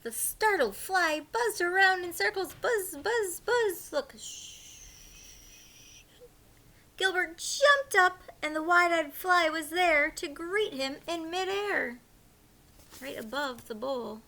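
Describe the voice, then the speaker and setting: A woman with a high, bright voice reads a picture book aloud to young children. She takes it slowly and expressively, mixing animated storytelling with hushed asides to her listeners. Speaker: teacher reading aloud to children.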